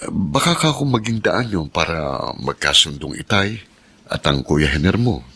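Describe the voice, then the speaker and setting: A man's voice: sits low, expressive, moderate pace, bright, forceful tone, slightly rough, full character voice. A man playing a kind, generous, affectionate husband in a Filipino radio drama. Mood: tender, eager.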